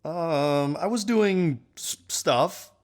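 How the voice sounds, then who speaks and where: A man's voice: a little high, very slow. A man playing a goofy, irresponsible young best man in an audio drama. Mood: sheepish.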